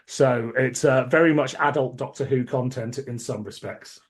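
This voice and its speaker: A man's voice: medium pitch, normal speed. A British man hosting a podcast, moving between prepared notes and chat. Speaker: podcast host. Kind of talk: podcast talk, reading prepared notes and chatting.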